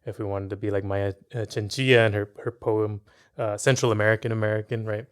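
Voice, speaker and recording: A man's voice: lowish voice, flat delivery, brisk, clear, resonant tone. A man from San Francisco hosting an interview, posing a long, thoughtful question full of fillers and rephrasings. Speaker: interviewer, podcast host.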